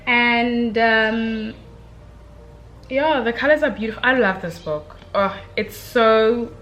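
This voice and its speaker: A young woman giving a casual, chatty book review, speaking in an expressive, gushing, slangy way with dramatic emphasis. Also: on the high side, slow, faint music behind.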